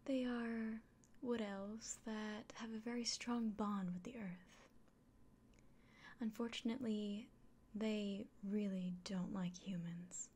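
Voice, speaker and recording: A woman playing a kindly, caring innkeeper in an ASMR fantasy roleplay, whispering gently and reassuringly. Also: medium pitch, fairly even intonation, takes it slowly, husky. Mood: caring, cautionary.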